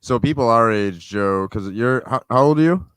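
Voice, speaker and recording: A man's voice: mid-range voice, moderate pace, fairly bright tone, clean tone, dry recording. A man in his thirties hosting a casual podcast chat, loosely rephrasing a co-host's question for the guest. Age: 30s. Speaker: podcast host.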